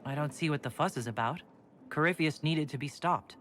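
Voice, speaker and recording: An actress playing a calm, gracious leader in a fantasy game. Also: female voice, low voice, a little fast, slight background noise, natural voice. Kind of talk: dramatic game dialogue. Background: music.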